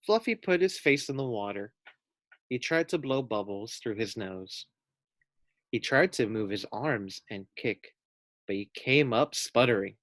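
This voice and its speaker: A man reading a children's storybook aloud as a teacher, with deliberate, clear phrasing. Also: medium pitch, animated, fairly bright tone, no echo.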